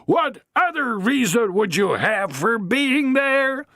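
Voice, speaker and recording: A reader voicing a furious, pompous older matron who declaims slowly and theatrically. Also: female voice, fairly high pitch, sing-song, fairly bright tone, no room sound, studio quality, pitched above the performer's own voice, exaggerated voice. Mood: indignant, grand. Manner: haughty, theatrical, slow declamation.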